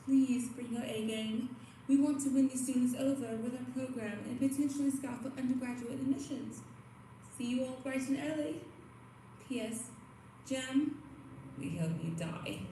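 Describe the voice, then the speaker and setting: A woman's voice: high-pitched, moderate pace, warm tone, natural voice. A woman playing a professor who reads out a chirpy, formal announcement with a menacing edge in an audio drama. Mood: chipper. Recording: very echoey, noisy recording.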